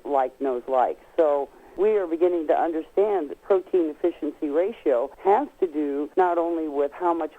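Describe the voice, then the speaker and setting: A woman's voice: low voice, mellow. A woman guest expert on a telephone, giving explanatory answers in an emphatic, folksy way and stretching key words. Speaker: guest expert on nutrition products. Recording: tinny narrow-band telephone line.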